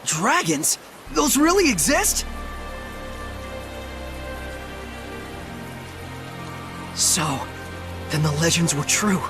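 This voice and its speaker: A man's voice: fairly high pitch, wildly swooping pitch, measured pace, music in the background, full character voice. A man voicing an earnest, kindly young shepherd hero in a video game, polite and youthful. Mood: astonished. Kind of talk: English game voice acting.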